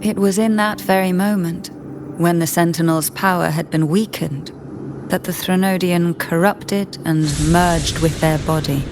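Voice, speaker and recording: A woman voicing a poised, secretive noblewoman in a video game story, speaking in a composed, refined and deliberate way. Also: on the low side, fairly even intonation, background noise. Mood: grave, composed. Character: poised, secretive noblewoman of an old disgraced family. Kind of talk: video game story voice acting, measured exposition. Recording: game music behind the voice.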